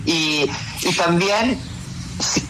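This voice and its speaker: A man's voice: sits high, drawn-out words, background noise. A middle-aged man from Italy speaking Spanish with an Italian accent as a radio interview guest, hesitant and full of fillers and restarts. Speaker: interview guest, pizzeria owner.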